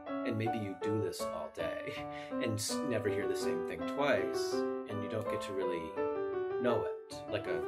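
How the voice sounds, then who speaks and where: An American man in his late thirties talking reflectively in a documentary interview, halting and repeating himself as he thinks. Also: low-pitched. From upstate New York, USA. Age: late 30s. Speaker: interviewee, pianist. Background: piano music.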